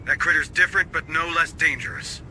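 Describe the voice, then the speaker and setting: An actor playing a gruff, middle-aged Marine squad sergeant in a video game, giving clipped orders. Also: male voice, fairly high pitch, brisk, pushed and bright, a little hiss or hum, rough recording. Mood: firm command.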